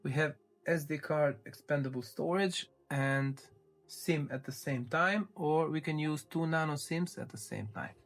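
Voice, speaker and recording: Man, slightly high voice, casual tech reviewer, step-by-step explanatory delivery, small hesitant pauses, music behind. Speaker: tech reviewer presenting his channel. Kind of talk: casual unboxing narration.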